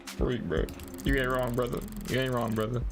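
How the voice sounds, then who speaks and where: A young man with a mid-pitched voice hosts a game stream. He talks loosely and casually in slang, chatting straight to his viewers, with faint music underneath. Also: level tone, normal speed. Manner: slangy, addresses chat as bro and y'all. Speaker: live streamer playing games. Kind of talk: casual livestream chat while playing a game.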